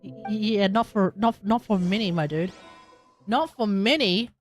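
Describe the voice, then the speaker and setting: A woman's voice: medium pitch, unhurried. Slangy Australian woman streaming, music behind. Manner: slangy, emphatic, repeats phrases for effect. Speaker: game livestreamer. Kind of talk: casual live reaction commentary to a game.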